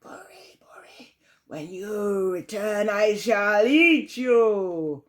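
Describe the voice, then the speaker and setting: A woman voicing a hungry lion in a children's tale, moving between breathy whispers and slow, drawn-out roaring threats. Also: mid-range voice, lively intonation. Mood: menacing.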